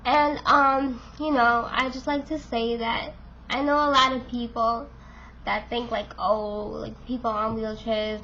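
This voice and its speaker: A woman's voice: a little high, a bit bright, highs cut off, slight background noise. A woman in her twenties talking to camera in a casual, frank way, full of fillers, with a stuffed-up nose and a voice she keeps losing. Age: 20s. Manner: chatty, frank, many fillers. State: congested and losing voice. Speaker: vlogger.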